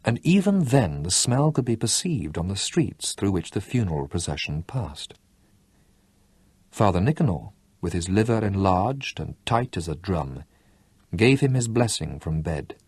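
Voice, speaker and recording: A man reading a novel aloud in an expressive audiobook style, with lively, dramatic delivery and slowed emphasis. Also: sits low, husky, dry recording.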